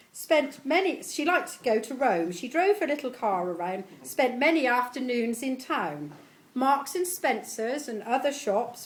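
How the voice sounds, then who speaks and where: A middle-aged woman reads a homemade rhyming poem aloud to gathered guests. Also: sits high. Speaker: family member reading a tribute poem at a party. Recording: live event recording with clear background noise.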